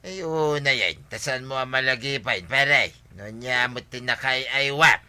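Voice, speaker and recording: Chummy drinking buddy, piercingly bright. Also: male voice, mid-range voice, a little slow, clear, resonant tone. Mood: chummy, teasing. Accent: Ilocano. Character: chummy male drinking buddy, proud and teasing. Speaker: actor in a radio comedy drama. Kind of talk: Ilocano radio comedy drama acting.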